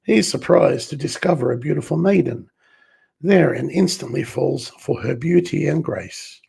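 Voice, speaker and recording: A man, hoarse and under the weather, clearing his throat often as he reads film box covers aloud in a slow, relaxed unboxing. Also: fairly high pitch. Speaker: collector reviewing a film set.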